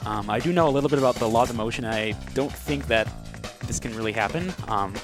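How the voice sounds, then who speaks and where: Man casually commentating over loud game music. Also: medium pitch, fast talker. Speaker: speedrunner commentating on own play. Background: loud video game music.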